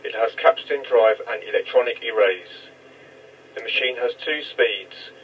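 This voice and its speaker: A man reads a scripted test announcement in a measured, deliberate way, as a demonstrator of vintage equipment. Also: mid-range voice, dull audio, slight background noise.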